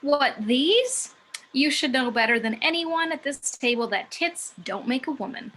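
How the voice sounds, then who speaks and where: Woman playing bright, sassy, high-voiced muse. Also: very animated, unhurried, a little hiss or hum, full character voice, poor audio. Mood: brash, sassy. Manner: sardonic, brash. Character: sassy, cocky female muse working as a stripper. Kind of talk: amateur table read of a film script.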